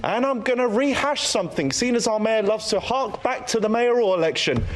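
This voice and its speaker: Male politician, notably high voice, combative, declamatory debate speech. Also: lively intonation, brisk.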